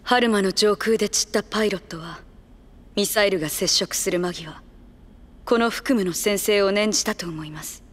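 A woman's voice: mid-range voice, moderate pace, long reverb tail, close to an everyday voice. A woman playing an earnest young male officer. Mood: fervent.

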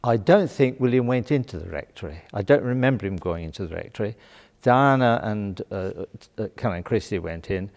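A man's voice: on the low side, expressive, brisk, creaky, a little mellow, long reverb tail. A man recalling an arrival, with hesitant fillers. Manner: reminiscing with hesitations.

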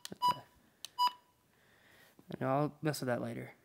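A man's voice: mid-range voice, fairly even intonation, measured pace, creaky. A man casually narrating a hands-on setup, muttering to himself in short fragments, recorded indoors on a handheld device. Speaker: hobby video maker. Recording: very noisy handheld home recording with beeps. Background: loud noise, button clicks and electronic beeps.

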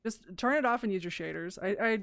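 A young woman casually commentating her Minecraft let's play, excitable and gushing over cute animals, a little hoarse. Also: medium pitch, fast talker, dry recording. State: slightly hoarse. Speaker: gaming YouTuber.

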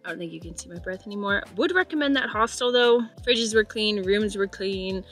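Bright-voiced chatty woman vlogger. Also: medium pitch, lively intonation, fairly quick, faint music behind. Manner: chatty, rambling, upbeat. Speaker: travel vlogger. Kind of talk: casual vlog to camera. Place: hostel grounds, car and home bedroom. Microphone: camera held at arm's length.

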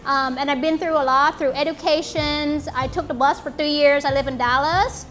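A woman in her late twenties from Vietnam with a Vietnamese accent, speaking earnestly in halting English with fillers as she hosts a small gathering in an apartment lounge. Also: sits high, steady tone, a bit bright. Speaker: event host and company founder. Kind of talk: informal speech to a small group. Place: bright apartment lounge, indoors.

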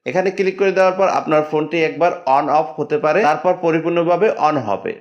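A man's voice: fairly high pitch, fast. Lo-fi male tech tutorial voice. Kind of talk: step-by-step screen tutorial narration. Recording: dull lo-fi voice-over.

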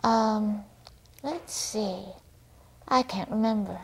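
A woman with a mid-range, husky voice. She chats casually as a studio guest, taking her time over short, simple remarks. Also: medium pitch, slow pace. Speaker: interview guest. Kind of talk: casual chat. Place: small TV studio, seated at a table.

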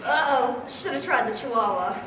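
Noisy echoey hall, woman voicing high impish trickster sprite, flippant, teasing, radio play. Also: animated, normal speed, a bit bright. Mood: playful. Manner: playful, teasing, showy. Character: mischievous male trickster fairy, playful, cheeky and flippant. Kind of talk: staged radio play acting. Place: live convention stage reading. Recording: roomy hall, distant mic, noisy.

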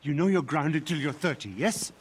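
An actor playing a loving, gently stern middle-aged father in a video game, with a husky voice. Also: fairly high pitch, animated, moderate pace. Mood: mock stern. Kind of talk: cinematic video game acting.

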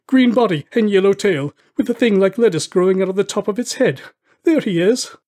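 A man's voice: fairly high pitch, moderate pace, a little mellow, breathy edge, no room sound, studio quality. A man voicing an elderly miser, effusive and emotional, carried away by boyish feeling in a storybook reading. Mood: ecstatic, excited.

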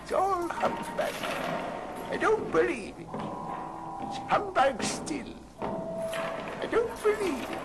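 An actor playing a crotchety, blustering old miser in old radio drama style. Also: male voice, very high-pitched, big pitch swings, slow, long pauses, exaggerated voice. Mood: scornful, defiant. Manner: querulous, blustering. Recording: old record with loud music.

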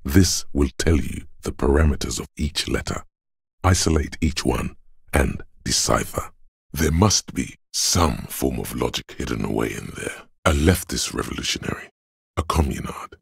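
A detective's inner logic for a game: gravelly, raspy, measured and analytical, very dry close sound. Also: male voice, expressive, no room sound, full character voice. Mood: coolly deliberate. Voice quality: very deep, gravelly, husky. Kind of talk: video game narration.

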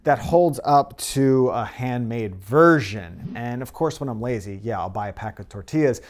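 A man's voice: medium pitch, expressive, moderate pace. Upbeat man explaining a recipe. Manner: upbeat, conversational, explains as he cooks. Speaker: cooking channel host. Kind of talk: casual cooking tutorial. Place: home kitchen, indoors. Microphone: lapel mic.

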